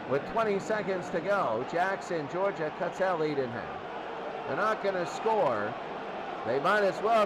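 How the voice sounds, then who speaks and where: A man doing informal football play-by-play, thinking aloud as he calls the game. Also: high-pitched. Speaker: play-by-play announcer. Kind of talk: American football radio-style commentary. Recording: loud crowd and noise behind. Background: stadium crowd roar.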